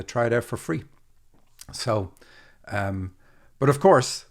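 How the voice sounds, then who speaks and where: A man's voice: fairly low pitch, creaky. A man hosting a dream interpretation podcast, chatting casually and laughing easily. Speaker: podcast host.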